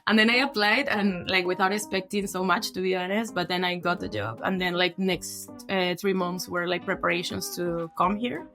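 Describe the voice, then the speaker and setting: A woman's voice: fairly low pitch, normal speed, hardly any pauses, a bit bright, faint music behind. Young woman telling her story. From Peru. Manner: chatty, many fillers, laughs lightly. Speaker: guest. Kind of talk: conversational storytelling.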